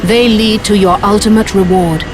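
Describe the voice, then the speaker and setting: A woman playing a regal, solemn goddess in a video game, speaking in a measured, formal way. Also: medium pitch, fairly even intonation, natural voice. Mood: commanding. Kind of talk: dramatic video game acting. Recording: music underneath.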